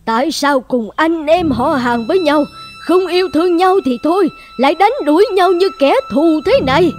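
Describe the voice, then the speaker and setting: A woman's voice: high-pitched, normal speed, darkish tone, natural voice. A woman playing an old grandmother cat in a cartoon, stern but caring, admonishing like an elder. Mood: scolding, alarmed. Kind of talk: animated fairy-tale dubbing. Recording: music playing behind the voice.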